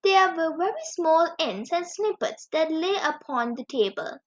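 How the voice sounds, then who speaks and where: Woman reading kids a bedtime story, really high voice, animated, kinda dull lo-fi sound. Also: measured pace. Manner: expressive, animated delivery. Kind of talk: children's story read-aloud. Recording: dull lo-fi recording.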